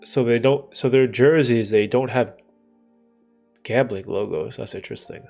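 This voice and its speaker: Stuffy-nosed man, gravelly casual chat. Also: medium pitch, normal speed, a little mellow, faint music behind. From Canada. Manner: rambling, thinking aloud with many fillers and restarts. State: stuffy nose from a cold. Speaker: livestream host answering chat.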